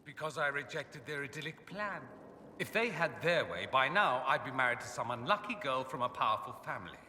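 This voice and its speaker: An actor playing a vain, sardonic young aristocratic mage in a video game, with a suave, theatrical and wry delivery. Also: male voice, medium pitch, animated, fairly quick, pushed and bright, echoey room, long reverb tail, a little hiss or hum. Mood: theatrical, self-mocking. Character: witty, vain aristocratic male mage, sardonic rebel exile. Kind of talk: video game dialogue acting.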